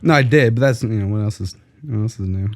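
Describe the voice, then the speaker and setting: A man's voice: on the low side, fast talker, no room sound, studio quality. A young man co-hosting a podcast in laid-back casual chat, congested and struggling to breathe, mumbling and trailing off. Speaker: podcast co-host.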